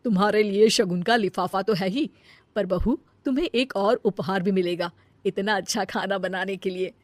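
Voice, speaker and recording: A woman's voice: mid-range voice, normal speed, no room sound, close to an everyday voice. A woman voicing a generous visiting aunt in a family comedy cartoon, effusive and approving. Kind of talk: acted Hindi family comedy story.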